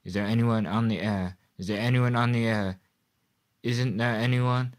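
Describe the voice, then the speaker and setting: An urgent male radio operator in a radio drama, acted by a man. He pushes his voice up high and loud, in clipped, repeated calls and emergency bulletins. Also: steady tone, moderate pace, lightly acted. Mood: desperate calling. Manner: clipped, repeating call signs. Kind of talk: amateur radio play acting.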